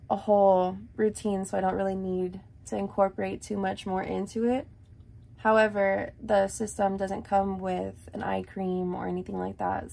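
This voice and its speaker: A young woman talks to her camera in a loose, rambling way, full of likes and ums. Her voice is raspy and gravelly, with a dark, mellow tone. She sounds close to a desk camera in a small room. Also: medium pitch. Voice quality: raspy, dry morning voice. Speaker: vlogger. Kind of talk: casual chat to camera.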